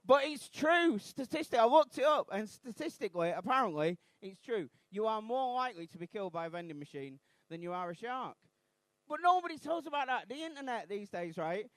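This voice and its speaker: A Mancunian man in his thirties doing a rambling, self-deprecating stand-up routine on a comedy club stage, bursting into shouted outrage. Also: high voice, sing-song, a little fast, dry recording. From Manchester, England.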